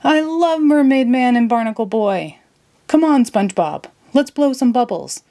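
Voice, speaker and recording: A woman voicing a childlike, slow-witted but enthusiastic male cartoon starfish in a storybook. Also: a little high, lively intonation, mellow, voice pushed up. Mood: eager, pleading. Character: dim, friendly, childlike male cartoon starfish best friend.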